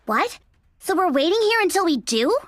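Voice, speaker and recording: A woman playing a brash, cheeky young female android soldier in a video game, sceptical but easygoing, with a sassy, expressive delivery. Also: extremely high pitch, moderate pace, dry recording. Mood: exasperated. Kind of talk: English video game voice acting.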